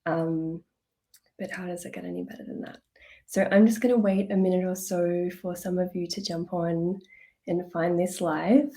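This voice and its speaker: A woman's voice: lowish voice, fairly even intonation, moderate pace, mellow. A woman coach chatting casually on a live stream, bubbly and laughing often, a bit congested from a cold. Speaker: business coach.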